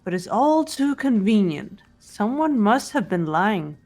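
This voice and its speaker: A woman's voice: medium pitch, sing-song, a little slow, darkish tone, soft background music. Woman playing a composed young female detective. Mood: cool, analytical. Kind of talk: fan-dubbed visual novel game acting.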